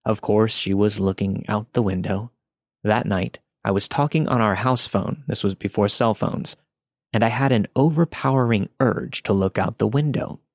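Low, gravelly man narrating creepy stories. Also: moderate pace, no echo, studio quality. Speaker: podcast host reading listener stories. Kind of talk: scripted spooky story narration.

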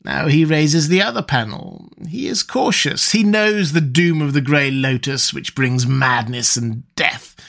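A man's voice: fairly high pitch, measured pace, creaky, hardly any pauses, a bit bright. A man voicing a scheming middle-aged priest who is gripped by fear, with a raised, theatrical, urgent delivery.

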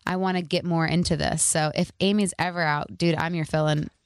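Bubbly midwest woman in her 30s, laughs a lot, kinda low voice, dry mic. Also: steady tone, fairly quick, smooth, dry recording. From American Midwest. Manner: bubbly, laughs often, upbeat. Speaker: guest, country singer. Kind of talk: casual interview chat. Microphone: studio microphone.

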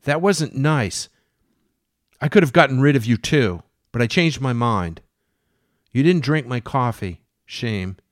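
A man's voice: expressive, a little slow, dry recording, studio quality, close to an everyday voice. A man voicing a drunk, unhinged woman who speaks sweetly while threatening violence. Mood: menacing. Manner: cooing, falsely sweet, high-strung. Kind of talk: dramatic story reading.

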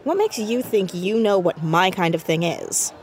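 A woman's voice: on the low side, animated, normal speed, lightly acted. A woman playing an earnest, nosy fourteen-year-old girl in an audio drama, youthful and argumentative in a naturalistic way. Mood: prickly. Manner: emphatic, argumentative.

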